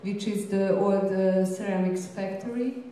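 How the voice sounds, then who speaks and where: A middle-aged woman from Hungary with a Hungarian accent gives an informal conference presentation in halting, hesitant non-native speech with frequent pauses. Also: mid-range voice, fairly even intonation, mellow. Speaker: gallery curator and presenter. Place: indoor presentation room, seated at a panel table. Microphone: handheld microphone through room sound. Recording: very echoey room, distant, faint noise.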